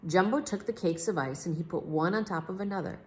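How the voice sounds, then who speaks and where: A woman reading a children's story aloud as a storyteller, with expressive, measured phrasing. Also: on the low side.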